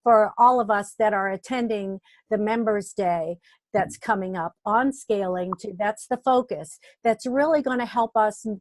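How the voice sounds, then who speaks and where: A woman's voice: mid-range voice, unhurried. A woman interviewing a guest, enthusiastic and affirming, often repeating words as she builds her questions.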